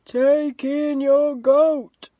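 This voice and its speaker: A woman voicing a wise old man from a storybook, giving calm, emphatic instructions in drawn-out words. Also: high-pitched. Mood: slow, commanding. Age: older. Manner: slow, emphatic, drawn-out commands.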